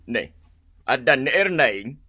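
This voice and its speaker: An actor playing a stubborn, evasive village husband who grumbles, in an Ilocano radio drama. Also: male voice, medium pitch, big pitch swings, slow pace, pushed and bright, exaggerated voice.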